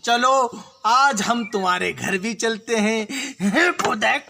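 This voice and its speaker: A man voicing a playful, boastful male frog who croaks and brags in slow, drawn-out words for a folk stage story. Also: very high voice, expressive, bright, forceful tone, exaggerated voice.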